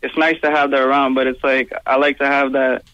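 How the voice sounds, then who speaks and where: A young man talks quickly and easily, his words running together in a relaxed, filler-heavy flow. His voice stays fairly level, with little rise and fall. The sound is dry and a little muffled. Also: medium pitch, dry recording. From Pakistan-born, raised in Virginia and Toronto area. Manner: laid-back, loose, heavy on "like" and "you know what I'm saying". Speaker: guest musician. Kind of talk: casual interview answers.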